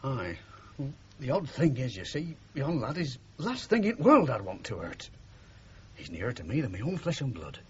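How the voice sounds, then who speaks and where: An actor playing a sentimental, wounded middle-aged working-class father with a Northern English accent in a radio drama, speaking haltingly and emotionally. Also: medium pitch, wildly swooping pitch, crowd noise. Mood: wistful, troubled. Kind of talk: 1970s radio drama acting. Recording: muffled, noisy 1970s radio broadcast.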